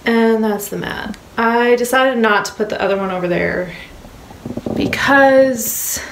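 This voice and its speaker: A woman's voice: on the high side, unhurried, background noise. A young American woman chatting casually to her phone camera as a vlogger. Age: young adult. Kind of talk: casual vlog chat to camera. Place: home kitchen, later inside a moving car. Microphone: phone at arm's length.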